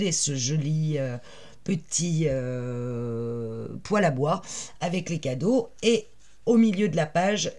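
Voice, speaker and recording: Woman with a cold, raspy voice, chatty crafting walkthrough, lots of "euh"s. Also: sits low. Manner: chatty, many "euh" fillers, explains while working. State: has a head cold. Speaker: crafting tutorial host. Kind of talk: casual step-by-step craft tutorial.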